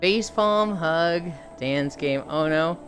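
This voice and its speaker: A woman's voice: sits low, takes it slowly, faint music behind. A woman streaming casually, rambling through stories, swearing and laughing easily. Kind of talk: casual chat while streaming.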